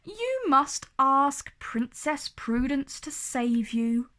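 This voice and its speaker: A woman voicing a big, hungry male dragon in a children's storybook, speaking in a polite, measured, pompous and theatrical way. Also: sits high, big pitch swings, bright, forceful tone, voice pushed up, full character voice. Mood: menacing, sly.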